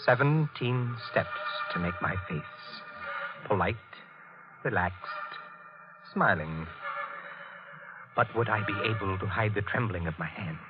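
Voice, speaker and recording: An actor playing a nervous, guilt-haunted husband in a tense, brooding old-time radio suspense drama. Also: male voice, lowish voice, lively intonation, muffled recording, over loud music. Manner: tense, brooding, deliberate confessional narration. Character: nervous, guilt-haunted husband and bird keeper narrating his crime.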